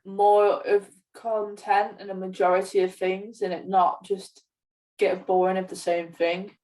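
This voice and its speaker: Woman podcast host just chatting, sounds a bit far off the mic, normal pitch. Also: little pitch movement, measured pace, a little mellow. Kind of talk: casual chat about video games. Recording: distant from the mic.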